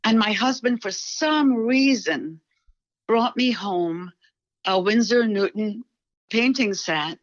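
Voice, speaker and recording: A woman in her seventies with a slight Scottish accent, a guest artist telling stories of her life in a podcast interview, gently, with small restarts. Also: on the high side, expressive, slow, dry recording, dull audio. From Scotland.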